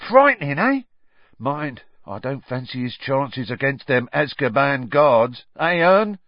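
Excitable, high-pitched cockney lad. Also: male voice, measured pace, no room sound, muffled recording. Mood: awed, excitable. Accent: London working-class. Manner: gossipy, dramatic, colloquial, tag questions. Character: chatty, excitable young cockney male bus conductor.

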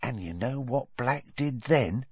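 An excitable young London bus conductor, pitched high and swooping. He gossips dramatically in working-class slang and keeps tagging questions onto his sentences. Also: normal speed, dry recording, lo-fi sound. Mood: dramatic, gossipy.

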